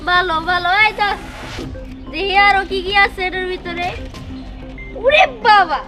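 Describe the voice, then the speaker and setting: A woman playing a bratty, cake-crazy young bully in a cartoon moral story, mocking and bossy. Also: very high voice. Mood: teasing.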